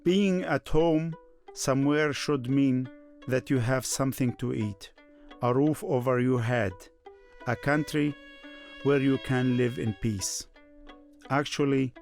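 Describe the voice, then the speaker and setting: A Syrian man telling his life story in scripted documentary style. He speaks slowly and reflectively, at a medium pitch with some fry in the voice. Soft music plays behind him. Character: Syrian man recalling his student years in East Germany. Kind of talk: scripted first-person documentary narration.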